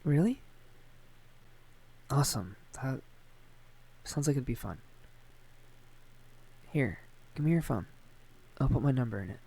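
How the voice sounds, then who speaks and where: A man playing a shy, tender, awkward young man, a friend's older brother, in an intimate ASMR roleplay, speaking softly and hesitantly with a stammer. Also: mid-range voice, expressive, unhurried, creaky, long pauses, husky, full character voice. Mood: pleased, shy. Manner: hesitant, stammering, gently teasing.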